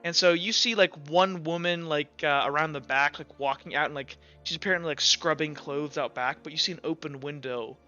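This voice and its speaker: A man's voice: fairly high pitch, fairly quick, few pauses, pushed and bright, clean tone. A man improvising narration as game master of a tabletop roleplaying game, casual and hesitant, with lots of filler words. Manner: casual, hesitant, loosely improvised delivery with frequent "like" and "kinda". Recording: dull lo-fi audio with music behind. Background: background music.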